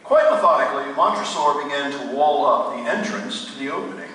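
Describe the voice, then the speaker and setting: An actor playing a grave narrator, reading a macabre tale as scripted drama in a radio play. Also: male voice, mid-range voice, animated, fairly quick, sharp tone. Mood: suspenseful. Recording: echoey hall, far from mic, faint noise.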